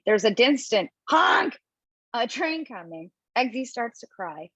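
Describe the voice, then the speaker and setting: A woman reading the stage directions in an amateur table read, brisk and quick to laugh at her own slips. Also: a little high, very animated, a bit bright, slightly rough, no room sound. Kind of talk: amateur table read over video call.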